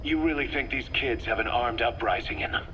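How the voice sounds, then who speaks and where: An actor playing an embattled military commander in a video game, earnest, brooding and intense. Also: male voice, mid-range voice, a little fast, bright, forceful tone, slight background noise, lightly acted, low-quality recording. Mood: defensive, resigned. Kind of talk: dramatic video game voice acting.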